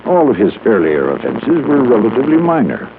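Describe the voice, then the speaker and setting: An actor playing a prim, pedantic psychologist who pleads in pompous, wordy, precise phrases, in old-time radio style. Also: male voice, mid-range voice, wildly swooping pitch, brisk, gravelly. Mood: earnest, lecturing. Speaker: radio drama character. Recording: narrow-band vintage radio, faint noise.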